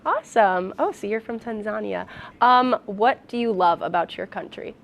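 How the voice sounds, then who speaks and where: A woman's voice: mid-range voice, moderate pace, creaky. A young woman hosting a student TV interview show, speaking as presenter to guests and viewers. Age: young adult. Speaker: talk show host. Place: student television studio.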